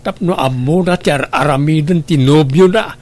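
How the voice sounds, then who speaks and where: A man acts as an older father and husband in a radio drama, concerned and careful. His voice is slightly high for a man, and he reasons in a measured way. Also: a little mellow, close to an everyday voice. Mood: cautious.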